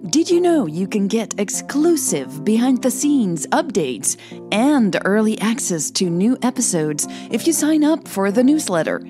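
A woman's voice: medium pitch, big pitch swings, measured pace, music in the background. A woman hosting a podcast and narrating a scripted audio story.

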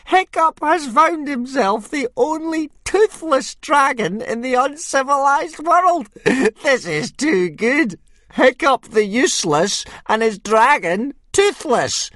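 A loud, swaggering Viking bully boy, all sneers and boasting, as a male storyteller puts him on. The voice shoots up high and swings wildly with glee. It sounds close and dry. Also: lively intonation, slow, no room sound, full character voice. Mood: gloating. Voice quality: high, shrill and mocking.

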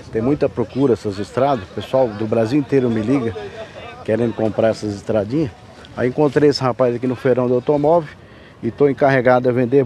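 A man from Brazil giving a folksy, chatty sales pitch while filming cars at an outdoor car fair on a handheld camera. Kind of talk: walkaround sales vlog. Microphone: handheld camera mic, filming.

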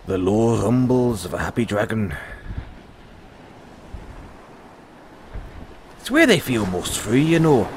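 A man's voice: mid-range voice, slight background noise. Man playing a folksy, lilting dragon rider mentor. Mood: serene, wistful. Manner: folksy, earnest, musing, says "aye" and "lad". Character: friendly, philosophical male dragon rider, gentle mentor. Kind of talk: audio roleplay addressed to the listener.

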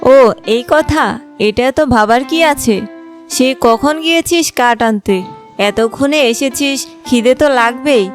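A woman voicing a caring but scolding village mother in an animated fairy tale. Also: sits high, measured pace, soft background music. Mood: fond.

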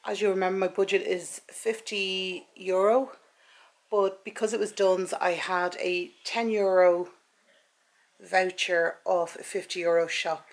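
A woman's voice: medium pitch, level tone, a little slow. A woman in her forties with an Irish accent, chatting casually and matter-of-factly as a vlogger. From Ireland. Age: 40s. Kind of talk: casual chat about shopping. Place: home kitchen and inside a car. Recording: clicks and clear background noise.

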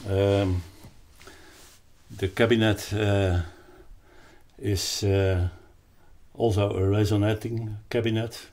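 An older man with a Dutch accent explains a product off the cuff, haltingly searching for words. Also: fairly low pitch, level tone, a little mellow. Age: 60s or older. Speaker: loudspeaker designer presenting his product. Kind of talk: unscripted explanatory product talk. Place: carpeted, wood-panelled listening room indoors.

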